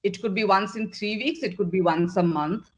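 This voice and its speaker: A woman's voice: medium pitch, normal speed, lo-fi sound. A middle-aged woman from Northeast India, a managing partner at an agency, answering interview questions in an earnest, direct way, often repeating words for emphasis.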